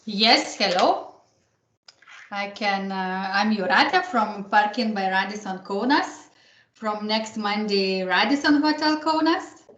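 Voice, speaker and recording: A woman introducing her hotel in an online meeting, speaking softly and hesitantly. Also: mid-range voice. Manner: slow, soft, hesitant. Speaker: hotel representative. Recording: roomy, dull video-call audio.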